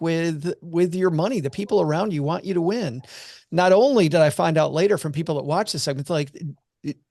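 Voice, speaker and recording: A man telling a personal story as a podcast guest, earnest and animated. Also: fairly high pitch, gravelly, dry recording, clean studio sound. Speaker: podcast guest, money commentator. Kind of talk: casual storytelling chat.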